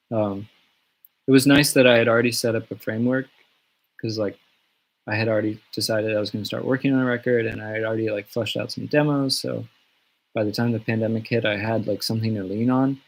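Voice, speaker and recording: A man chatting casually from his home on a livestream, answering fan questions in a relaxed, rambling way with many fillers and restarts. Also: on the low side, brisk, vocal fry, long pauses, poor audio. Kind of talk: casual live Q&A. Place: home studio in the countryside. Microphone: webcam or phone on a livestream.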